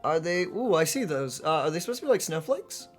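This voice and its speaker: A woman's voice: lowish voice, sing-song, brisk, soft background music. A young woman giving casual, joking and exclamatory commentary as a let's play host.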